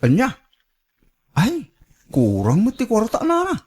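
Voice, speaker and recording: A hesitant, reluctant young man next door with a Filipino accent acted by a man for radio drama. His pitch swings dramatically as he talks. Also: wildly swooping pitch, measured pace, darkish tone, husky, full character voice. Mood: wary haggling. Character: hesitant, reluctant young male neighbour short of cash. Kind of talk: Ilocano radio drama acting.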